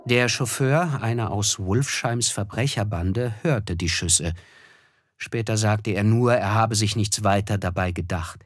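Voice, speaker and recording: An actor playing a reflective, melancholy narrator in a literary radio play, speaking in a measured, solemn way. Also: male voice, lowish voice, no echo, studio quality. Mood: sober, recollecting. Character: reflective, melancholy male narrator recalling a tragedy.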